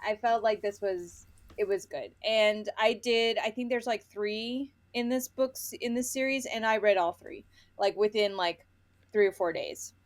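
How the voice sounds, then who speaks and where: A woman's voice: mid-range voice, a little slow. A woman co-hosting a casual book chat, speaking bluntly with an exasperated edge and some casual swearing. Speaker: podcast co-host reviewing romance books.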